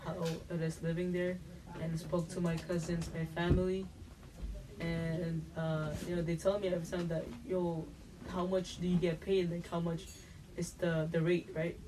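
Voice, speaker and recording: A woman's voice: on the low side, flat delivery, normal speed, distant mic, noisy background. A young woman from the Philippines, a podcast co-host who asks curious, hesitant questions. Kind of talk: casual chat.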